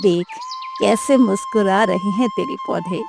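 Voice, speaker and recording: A woman voicing a loving, encouraging old village grandmother in an animated moral story. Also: mid-range voice, measured pace, vocal fry, over music, exaggerated voice. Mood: proud, tender.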